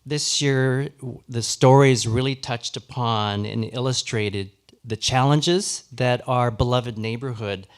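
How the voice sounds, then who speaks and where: A middle-aged or older man giving semi-formal closing remarks at a live event in an earnest, halting way. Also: mid-range voice, clear, resonant tone. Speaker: historical society president giving closing remarks. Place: live awards ceremony before an audience.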